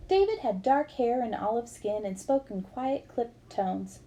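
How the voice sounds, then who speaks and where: A woman's voice: a little high, very animated, unhurried, mellow. A woman reading a memoir aloud as scripted storybook narration. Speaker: reader of a book aloud.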